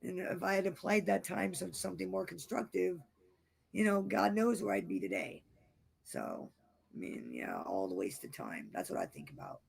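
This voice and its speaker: A man with a raspy, damaged voice that is going hoarse, chatting frankly over a video call and repeating himself as he rambles. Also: high-pitched, big pitch swings, moderate pace. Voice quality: raspy, gravelly voice from vocal cord damage. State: losing his voice, hoarse. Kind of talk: casual late-night chat.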